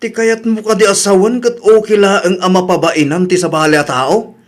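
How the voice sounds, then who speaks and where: Man acting a reproving husband, slightly high voice, Ilocano Filipino accent, chiding, radio comedy drama. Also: steady tone, moderate pace, lightly acted. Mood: scolding. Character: reproving, level-headed village husband.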